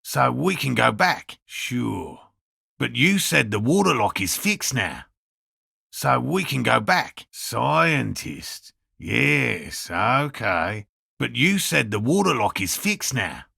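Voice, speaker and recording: A man voicing an earnest, eccentric cryptozoologist in a video game, with a raspy voice and precise, courteous, scholarly phrasing. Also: medium pitch, big pitch swings, takes it slowly, bright, forceful tone, no room sound, clean studio sound, exaggerated voice. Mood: polite, measured. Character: earnest eccentric male cryptozoologist scientist, obsessive but courteous. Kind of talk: video game dialogue voice lines.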